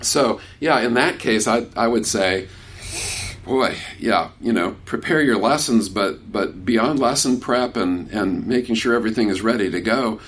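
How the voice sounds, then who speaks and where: A man's voice: on the low side, unhurried, a little hiss or hum. Older male speaker, sixties, loose conversational delivery with restarts, answers questions in a workshop. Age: 60s. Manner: warm, conversational, many restarts. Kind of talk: casual talk with questions from the audience.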